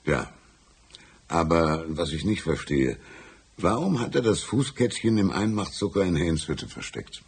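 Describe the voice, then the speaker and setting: An actor playing a folksy, shrewd old small-town constable in a German radio drama, easygoing and avuncular. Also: male voice, sits low, expressive, unhurried, gravelly, husky, exaggerated voice. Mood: probing. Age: older.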